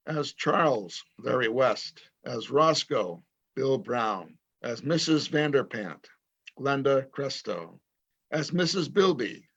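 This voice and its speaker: Male announcer for radio drama credits: deliberate pace, medium pitch, somewhat lo-fi sound. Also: vocal fry, fairly bright tone, breathy edge.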